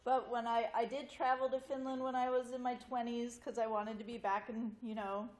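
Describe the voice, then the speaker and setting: A woman's voice: fairly high pitch, level tone, a little fast, darkish tone. An American woman in her forties, a guest speaker telling family stories informally to a group. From United States. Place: large wood-paneled community hall. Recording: roomy echo in a hall.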